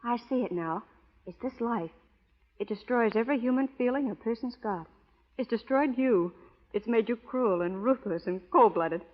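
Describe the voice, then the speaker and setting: An actress playing a strong-willed, proper young frontier schoolteacher in an earnest old radio melodrama style. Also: female voice, on the high side, moderate pace, natural voice. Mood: bitter, resolute. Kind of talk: 1930s radio melodrama acting. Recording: dull old radio broadcast recording.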